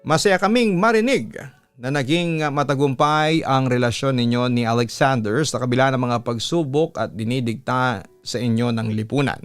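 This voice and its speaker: A man's voice: medium pitch, moderate pace, smooth, dry recording. A man with a Filipino accent reads a listener's letter as a scripted story, in the role of a radio announcer and host. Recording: music behind the voice. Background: background music.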